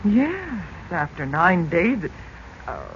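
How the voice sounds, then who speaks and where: A woman's voice: lowish voice, big pitch swings, slow pace, a little hiss or hum. An actress playing an anxious middle-aged mother of two teenagers in 1940s radio drama style, on a dull recording. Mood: uneasy, yielding.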